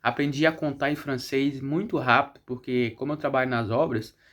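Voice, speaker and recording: A man's voice: mid-range voice, fairly even intonation, fairly quick, fairly bright tone. A man with a Brazilian Portuguese accent chatting casually to his viewers as a vlog host, often adding little tag questions. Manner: frequently checks in with tag questions.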